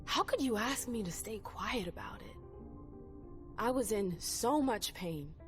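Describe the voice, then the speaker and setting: A woman's voice: medium pitch, animated, a little slow, lightly acted. A woman playing a stubborn, wounded female vampire in a video game, speaking in a cool, skeptical, insistent way. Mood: hurt, insistent. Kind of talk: video game cutscene dialogue acting. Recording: game music underneath. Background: background music.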